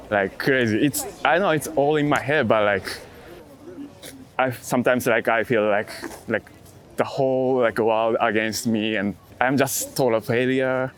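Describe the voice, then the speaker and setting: Halting man, reflective and casual. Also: medium pitch. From outside the US. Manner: halting, hesitant phrasing in short fragments; non-native English phrasing. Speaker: street photographer being interviewed.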